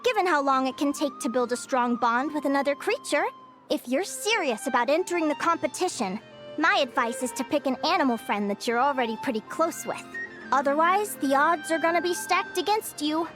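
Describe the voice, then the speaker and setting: A woman's voice: high voice, lively intonation, fairly quick, a bit bright. A woman playing an earnest, sweet young animal researcher with a girlish, explanatory manner in a video game. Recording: music under the voice.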